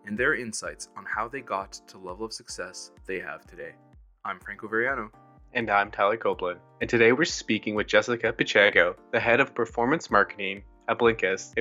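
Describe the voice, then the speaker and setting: A man's voice: on the low side, pushed and bright. A man co-hosting a podcast, reading a scripted intro and asking a guest questions with upbeat enthusiasm. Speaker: podcast co-host.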